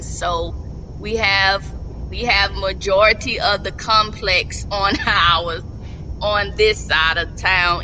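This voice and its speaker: A woman's voice: mid-range voice, bright, forceful tone, slight background noise, low-quality recording. A middle-aged woman, a business owner, talks plainly as a guest over a video call from indoors. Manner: slow, plainspoken, spells out names. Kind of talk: casual interview. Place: indoors at home, seated. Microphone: video call webcam.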